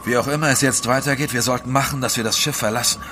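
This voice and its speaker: A man's voice: mid-range voice, steady tone, quick pace, heavy background noise, lightly acted. An actor in a German radio drama playing a practical seafaring man, matter-of-fact and scornful. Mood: blunt.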